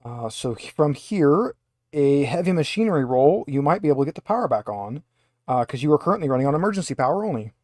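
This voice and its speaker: A man running a tabletop roleplaying game as game master, speaking haltingly as he thinks aloud. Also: a little high, big pitch swings, a little mellow, dry recording, clean studio sound.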